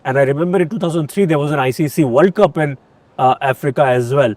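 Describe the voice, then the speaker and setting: A man interviewing someone, building up to his questions in a wordy, halting way. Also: on the high side, a little mellow, dry recording.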